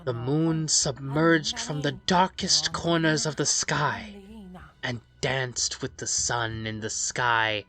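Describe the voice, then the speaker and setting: A let's play host reads a game's story aloud in a measured, dramatic way and adds offhand, rambling commentary. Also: medium pitch, pushed and bright. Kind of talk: reading game story aloud with casual commentary. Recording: music under the voice. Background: game music.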